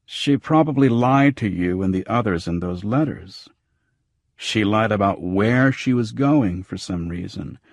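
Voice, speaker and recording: Noir audiobook cop performed by a man: dismissive, cynical lieutenant, blunt matter-of-fact delivery, husky tone. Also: on the low side, animated, a little slow, a little mellow, dry recording, full character voice. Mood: flatly explaining.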